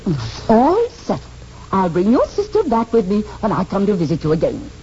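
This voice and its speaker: A woman's voice: medium pitch, wildly swooping pitch, moderate pace, music in the background. An actress playing a self-centred, fussy, domineering middle-aged mother in a 1940s radio drama, gushing and dramatic. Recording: muffled, noisy 1940s radio recording.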